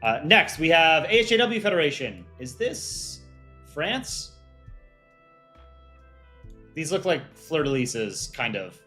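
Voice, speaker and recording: A man's voice: on the high side, very animated, takes it slowly, pauses often, sharp tone, soft background music. A man hosting an art contest on a livestream, reading out viewer chat and answering it offhand in casual talk.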